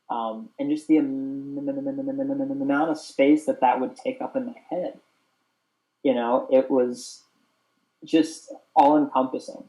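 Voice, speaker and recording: Earnest man who stutters, mellow voice. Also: mid-range voice, low-quality recording. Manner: stutters with repeated sounds, earnest and open. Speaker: host. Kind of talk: casual chat.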